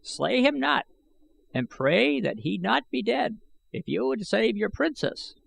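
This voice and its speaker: A man voicing a bent, eager old man with excitable, old-fashioned pleading speech, as an audiobook character. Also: extremely high pitch, unhurried, vocal fry, voice pushed up, full character voice, low-quality recording. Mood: urgent warning. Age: older.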